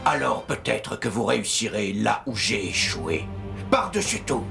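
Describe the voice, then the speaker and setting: Very gravelly, solemn cartoon scholar chief. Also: male voice, lowish voice, big pitch swings, a little slow, over music, full character voice. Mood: grave warning. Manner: solemn, formal, urgent. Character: grave, learned inventor chief leaving a warning message. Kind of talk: animated children's cartoon acting.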